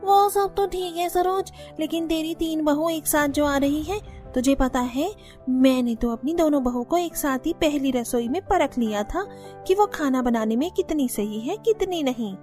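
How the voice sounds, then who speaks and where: A woman's voice: high voice, normal speed, darkish tone, soft background music, close to an everyday voice. A woman voicing gossipy middle-aged neighbor women who chatter nosily. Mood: nosy. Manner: nosy, chatty.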